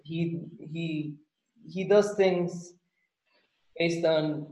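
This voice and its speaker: A man's voice: fairly high pitch, fairly even intonation, very slow, a little mellow. A man hosting a podcast, talking casually and rambling, often repeating his words. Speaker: podcast host. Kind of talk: casual interview chat. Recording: echoey, dull-sounding room.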